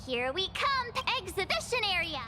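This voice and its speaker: A woman voicing a perky, cautious teenage girl adventurer in a video game, chatty and expressive. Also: very high-pitched, moderate pace, slight background noise, exaggerated voice. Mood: cheerful. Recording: game music under the voice.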